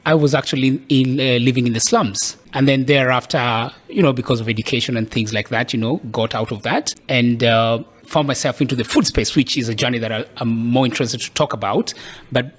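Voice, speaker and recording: A man's voice: mid-range voice, steady tone, brisk. A man from Kenya speaks as a guest on a podcast, his voice sitting in a middle range. He tells his story in a thoughtful, reflective way, at an easy, conversational pace. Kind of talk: casual interview storytelling.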